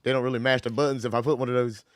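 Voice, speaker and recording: A man hosting a casual livestream chat, voice hoarse from a cold, talking in a relaxed, rambling way. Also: medium pitch, very fast. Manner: relaxed, rambling, Southern-inflected "y'all". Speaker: livestream host.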